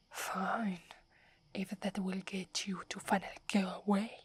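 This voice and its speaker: A woman's voice: medium pitch, husky, exaggerated voice. A woman from Italy with an Italian accent, whispering as a proud, wary young female werewolf who is prickly and defensive. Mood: haughty, wary. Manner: haughty, grudging, defensive. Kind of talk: ASMR roleplay.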